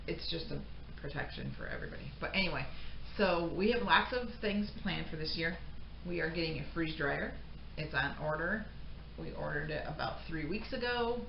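A woman's voice: low-pitched, moderate pace, vocal fry. A middle-aged American woman chatting casually to camera as a homestead vlogger, in a rambling, unscripted way that often breaks off mid-thought. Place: indoor pantry room with shelves of jars. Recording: dull, echoey room sound with faint noise.

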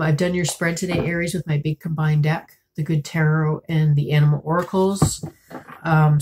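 A woman in her thirties giving a tarot reading to camera at home, a bit congested and raspy from a cold, talking in a soft, musing way with many fillers. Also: sits low, slight background noise. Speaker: tarot reader. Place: small room at home, indoors.

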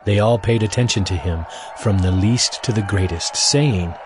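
Low-voiced male scripture narrator. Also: normal speed, noisy background. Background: music.

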